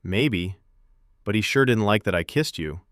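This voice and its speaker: A man's voice: lowish voice, expressive, measured pace, fairly bright tone, no room sound, studio quality. Man playing Chris, easygoing teasing young guy. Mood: probing.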